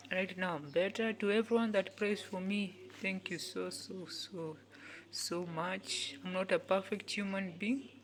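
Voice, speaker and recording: A woman, giving an earnest motivational talk in which she repeats each phrase several times and sometimes drops to a soft whisper. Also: medium pitch, fairly even intonation, measured pace. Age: 20s. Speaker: youth speaker.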